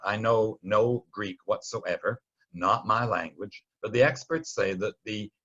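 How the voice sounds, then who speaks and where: A man's voice: fairly low pitch, lo-fi sound. A man giving an informal, explanatory Bible lesson in a conversational, storytelling way with some wry asides. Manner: conversational, wryly storytelling, acts out short quotes. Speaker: Bible study teacher.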